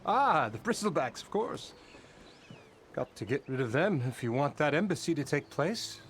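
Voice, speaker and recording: An actor playing a pompous, blustering middle-aged labor boss who talks in grand, flattering, evasive turns in a video game. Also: male voice, fairly high pitch, normal speed, a little hiss or hum.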